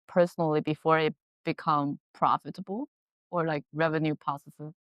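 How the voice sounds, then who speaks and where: A woman host from China, a native Chinese speaker, asks her guest questions in a curious, friendly way, with small slips in her English. Her voice is deep for a woman. The recording is close and dry. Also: little pitch movement, normal speed, no room sound. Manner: curious, warm laughs, occasional grammar slips. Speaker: podcast host, interviewer. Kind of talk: interview questions, casual chat.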